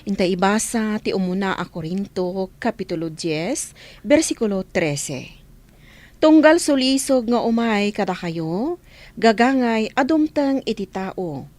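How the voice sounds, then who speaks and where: Expressive woman hosting a devotional radio program. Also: medium pitch, unhurried, no echo. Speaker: program host. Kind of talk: scripted devotional radio introduction with Bible reading.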